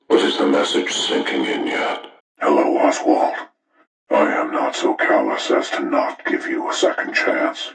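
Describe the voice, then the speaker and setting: An actor playing a sinister, calm, moralizing killer in a video game, speaking slowly and deliberately with gravelly menace. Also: male voice, heavily acted, rough recording. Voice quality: gravelly, very deep. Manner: slow, deliberate, menacing, measured. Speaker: villain taunting his captives with instructions. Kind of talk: scripted video game villain monologue.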